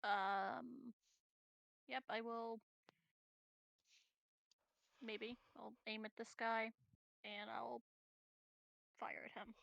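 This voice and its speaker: A woman's voice: on the high side, level tone, extremely slow, vocal fry, long pauses, a bit bright, dry recording. A woman chatting casually as a tabletop game player, informal and easygoing. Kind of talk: casual chat during a game.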